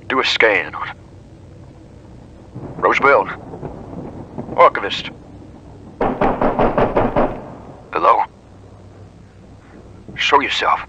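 A man playing a hard-bitten, world-weary investigator in an analog horror drama, gruff and terse. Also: low voice, bright, forceful tone, lo-fi sound, a little hiss or hum. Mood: alarmed.

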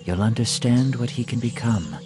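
An actor playing a calm, knowing, enigmatic ally in a game cutscene, measured and cryptic. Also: male voice, mid-range voice, music in the background, close to an everyday voice. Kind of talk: dramatic game cutscene acting.

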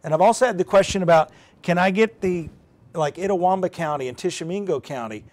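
A man's voice: high-pitched, brisk, darkish tone. A middle-aged man presenting weather on TV, giving an informal live how-to and thinking aloud as he goes. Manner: informal, thinking aloud while handling a device. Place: TV studio. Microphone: lapel mic.

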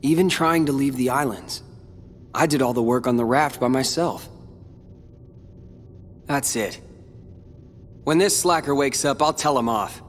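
An actor playing a calm, determined teenage boy hero in a video game, with a dry, brooding way of talking. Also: mid-range voice, measured pace, pauses often, a little hiss or hum, natural voice. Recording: game audio with reverb and loud music.

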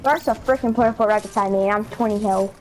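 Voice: medium pitch, steady tone, fast talker, heavy background noise. A young-sounding player chatting casually with teammates during an online game. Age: child or young teen. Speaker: player in an online squad match.

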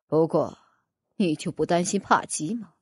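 A woman's voice: deep voice, a little slow, darkish tone, breathy edge, no room sound, clean studio sound, voice pushed down, heavily acted. A woman voicing a proud, scornful nobleman mage in a fantasy audiobook, sarcastic, clipped and challenging. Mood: defiant. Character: proud, scornful male nobleman mage, cornered but composed.

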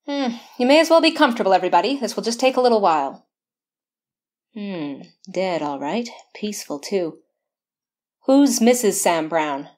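An actress playing a shrewd, matter-of-fact police sergeant in an old-time radio mystery. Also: female voice, medium pitch, expressive, normal speed, a little mellow, close to an everyday voice. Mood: businesslike.

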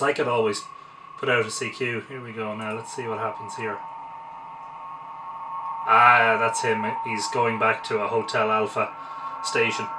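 An Irish man with a mid-pitched voice talks through what he is doing in an unhurried way, partly to himself. He sounds some distance from the camera mic in a small home room, with loud noise behind him. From Ireland. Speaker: amateur radio operator demonstrating. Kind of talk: live commentary while operating a radio. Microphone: camera a couple of metres away.

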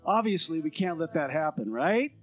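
Radio comedy role: male actor as easygoing, hip time traveler, slightly high voice over faint guitar. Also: very animated, normal speed. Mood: casual concern. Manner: casual, hip slang. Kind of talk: comedy radio skit monologue.

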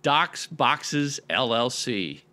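A man's voice: mid-range voice, expressive, very slow, sharp tone, exaggerated voice. A man playing a folksy, self-satisfied doctor and landlord with old-fashioned slang in a radio drama. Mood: proud. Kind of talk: 1940s noir radio drama pastiche.

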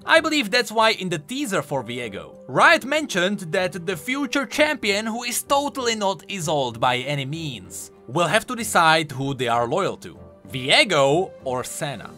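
A man's voice: sits high, sing-song, normal speed, sharp tone. A man giving enthusiastic, emphatic explanatory commentary on video game lore. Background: faint music.

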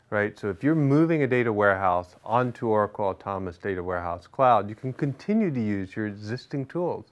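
A middle-aged man gives a scripted product explainer from a studio set. His delivery is steady and instructive, with rhetorical check-ins between points. The sound is clean and close, as from a lapel mic. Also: mid-range voice. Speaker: product management executive presenting. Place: studio with large screen behind.

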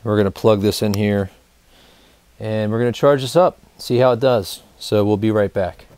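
A man's voice: lowish voice, fairly even intonation, normal speed, darkish tone, clean tone. A man in his thirties giving an informal, colloquial product demonstration to camera as the host of a gear review show. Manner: informal, colloquial, addressing viewers as guys. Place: indoor studio with backdrop, dry sound.

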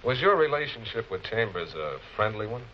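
A man playing a composed, probing public prosecutor in 1940s television crime drama style, measured and politely pressing. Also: on the low side, big pitch swings. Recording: muffled, noisy old film soundtrack.

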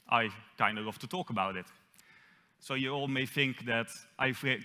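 A young man with a Dutch accent gives a conference talk on an auditorium stage, dropping in dry self-mocking jokes. His voice sounds bright and forward. A long reverb from the hall trails behind him. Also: medium pitch. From Netherlands. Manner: self-deprecating, lightly joking. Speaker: conference speaker.

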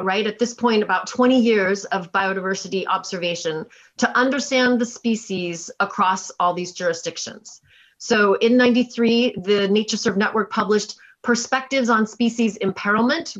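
A woman delivers a conference keynote as a scientist. Her voice sits at an average pitch, and she explains things in a measured way. The audio is a little dull, as on a video call. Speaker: keynote speaker and chief scientist. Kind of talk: conference presentation with slides.

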